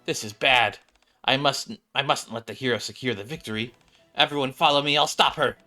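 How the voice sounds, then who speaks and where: A man's voice: medium pitch, brisk, bright, forceful tone, faint music behind, natural voice. A man voicing a proud, boastful, theatrical game villainess with a competitive streak. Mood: alarmed, determined. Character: blustering, competitive self-styled villainess, proud and cackling.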